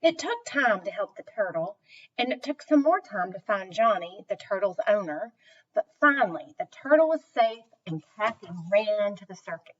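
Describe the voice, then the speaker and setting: A woman reading a children's story aloud with expressive, deliberate emphasis. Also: mid-range voice. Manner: slow, animated, deliberate emphasis. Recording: dull, distant microphone.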